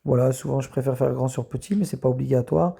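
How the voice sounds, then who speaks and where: Gravelly voice, mellow and dark tone, teacher explaining a math correction, many fillers, slows while writing. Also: mid-range voice. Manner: slows down to write while talking. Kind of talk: recorded lesson correction. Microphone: camera filming a written page.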